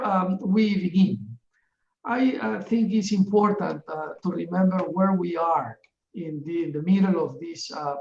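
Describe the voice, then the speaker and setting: A middle-aged man from Ecuador, chairing a webinar in a formal, earnest opening address, halting often with fillers. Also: on the high side, vocal fry, darkish tone. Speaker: webinar chair, UN division director. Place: office in a large UN building. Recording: echoey, distant and dull-sounding.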